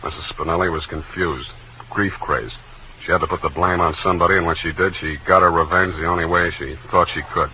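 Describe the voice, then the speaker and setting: An actor playing a wisecracking, sardonic hard-boiled private detective in a 1940s radio style, talking in wry, clipped slang. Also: male voice, low voice, steady tone, fairly quick, narrow, tinny audio, slight background noise, close to an everyday voice. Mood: grave, wry. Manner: slangy, wry, clipped tough-guy talk. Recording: old radio drama recording.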